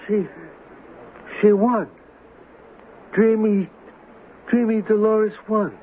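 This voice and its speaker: High-voiced streetwise small-time hustler. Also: male voice, steady tone, very slow. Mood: incredulous. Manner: streetwise slangy talk. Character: small-time racetrack hustler, wary, plaintive, streetwise man. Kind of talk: 1970s radio drama acting. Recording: tinny, noisy 1970s radio broadcast.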